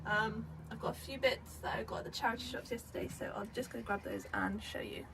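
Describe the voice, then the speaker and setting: A young woman, croaky from a cold, chatting casually at home about secondhand items she resells, with lots of fillers. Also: on the high side, fairly even intonation, fairly quick. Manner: chatty, rambling with many fillers. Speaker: vintage reseller vlogger. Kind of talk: casual chat. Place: home indoors. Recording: home recording with clear background noise.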